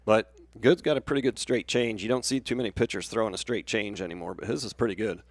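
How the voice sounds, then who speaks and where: A man's voice: fairly low pitch, fast, no echo. A man with an American accent giving conversational baseball analysis as a colour commentator.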